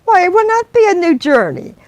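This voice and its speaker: A woman's voice: sits high, sing-song, normal speed, no room sound, voice pushed up. A woman voicing a polite but anxious young wife on her first trip abroad, pleading and indignant by turns.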